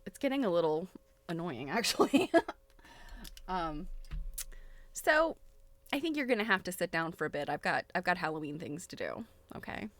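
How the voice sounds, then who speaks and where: A woman's voice: on the low side, big pitch swings, normal speed, pauses often. A middle-aged woman hosting a casual livestream, chatty and giggly, often breaking into exclamations. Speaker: livestream host.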